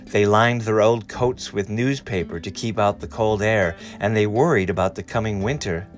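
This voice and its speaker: A man reading a storybook aloud in an expressive, dramatic and deliberate way. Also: lowish voice, soft background music. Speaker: story reader.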